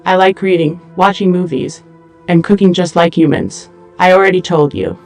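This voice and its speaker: Audio drama role: seductive android dancer played by a woman, flat and deliberate delivery, formal phrasing, music underneath. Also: on the low side, gravelly, exaggerated voice. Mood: detached, matter-of-fact. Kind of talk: English-language audio drama dialogue.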